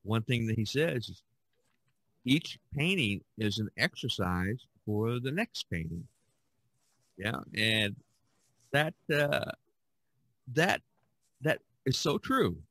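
A man's voice: mid-range voice, very animated, slow, long pauses, a bit bright, no echo. A man hosting a podcast, chatting casually in a rambling, conversational way. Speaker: podcast host. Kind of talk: casual chat.

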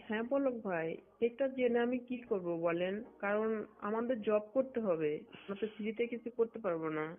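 A woman's voice: medium pitch, lively intonation, moderate pace. A woman from Bangladesh talking in a private phone conversation. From Satkhira, Bangladesh. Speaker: phone caller, government employee. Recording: muffled lo-fi phone recording with music.

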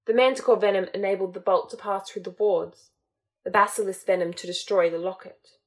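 A woman's voice: medium pitch, fairly even intonation, brisk, close to an everyday voice. A woman voicing a formal, strained male servant reporting to his master in an audiobook reading.

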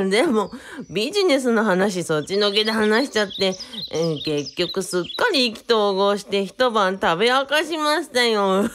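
A woman's voice: mid-range voice, expressive, unhurried, hardly any pauses, a bit bright, slight background noise. A woman playing a boastful male cult leader in a radio drama, chatty, self-important and teasing. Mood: breezy, boastful. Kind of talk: hobby radio drama dialogue.

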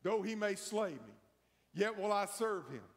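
Middle-aged preacher guy, high pitched, lots of church reverb, pounding each line. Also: very animated, a little slow. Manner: preaching with rising emphasis, then hushed prayer. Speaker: pastor. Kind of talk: sermon and closing prayer. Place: large church sanctuary, empty pews. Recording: long church hall reverb.

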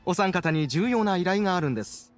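A man's voice: sits high, expressive, fairly quick, faint music behind. A man playing a courteous, businesslike young clerk in a video game, speaking in polite, formal phrases. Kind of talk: Japanese video game voice acting.

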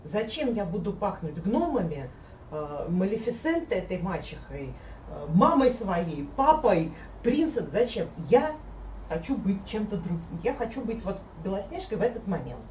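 A woman in her thirties, sitting on a sofa in a living room and chatting with animated, hesitant speech, recorded by a camera across the room. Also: medium pitch, normal speed. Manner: animated, gesturing, many hesitations. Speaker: host, olfactory artist. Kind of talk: casual conversation. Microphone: fixed camera across the room. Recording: echoey room, mic at a distance, faint hiss.

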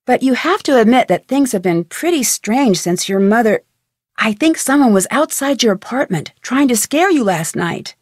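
A woman's voice: on the high side, lively intonation, normal speed, dry recording, clean studio sound. A woman playing an inquisitive, friendly young female detective in a video game, speaking in an earnest, conversational way. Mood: earnest, curious. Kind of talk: scripted video game dialogue.

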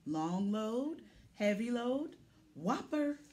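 A woman's voice: on the high side, very animated, drawn-out words, warm tone, a little hiss or hum, full character voice. A woman voicing a boastful, plucky little pickup truck from a children's picture book, punchy and full of vocal sound effects. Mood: excited.

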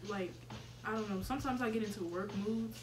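A woman's voice: medium pitch, brisk, music in the background, poor audio. A young woman from Chicago chatting in a laid-back, blunt way. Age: young adult. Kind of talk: casual chat.

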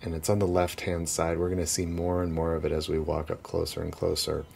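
Deep gravelly man giving a street tour. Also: monotone, brisk, a little mellow, slight background noise. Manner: casual, chatty, laughs often between points. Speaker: tour guide. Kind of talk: walking tour narration. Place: city streets outdoors while walking.

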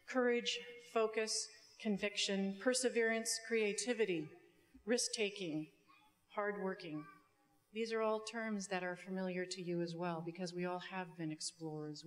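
A middle-aged woman giving a prepared commencement speech as a student speaker, measured and deliberate, with some ad-libs. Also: medium pitch. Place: indoor auditorium stage. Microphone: podium microphone. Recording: long hall reverb from stage sound system.

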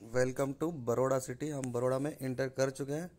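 A man's voice: medium pitch, steady tone, moderate pace. A young man narrating a road trip from inside a car, talking to his viewers in a chatty travel-vlog way. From Rajasthan, India. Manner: chatty, addresses viewers directly. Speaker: vlogger. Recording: loud music bed behind.

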